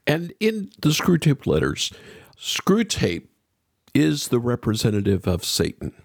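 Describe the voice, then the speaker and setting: A man's voice: mid-range voice, huge pitch swings, a little slow, gravelly, husky, dry recording, clean studio sound. A man hosting a podcast, interviewing and addressing listeners in a conversational style. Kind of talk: conversational interviewing and show sign-off.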